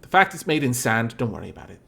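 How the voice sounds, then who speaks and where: Man musing over a game, lively pitch. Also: fast talker, vocal fry. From Wales. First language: Welsh. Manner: rambling, thinking aloud, dry asides. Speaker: let's play streamer. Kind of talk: casual gameplay commentary. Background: faint background music.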